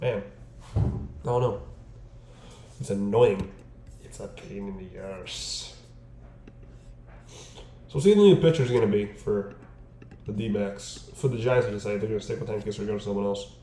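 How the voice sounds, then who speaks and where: A man's voice: on the low side, moderate pace, long pauses, dark tone. A man doing informal live baseball play-by-play for a stream, with casual, fan-like asides. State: bouts of coughing. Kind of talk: informal play-by-play commentary with chat. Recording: roomy echo, faint background noise.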